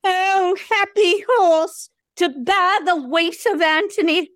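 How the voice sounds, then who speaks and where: Woman as a high, dramatic female show dog. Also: fairly bright tone, no echo, studio quality, exaggerated voice. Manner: theatrical, drawn-out. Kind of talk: audio play acting.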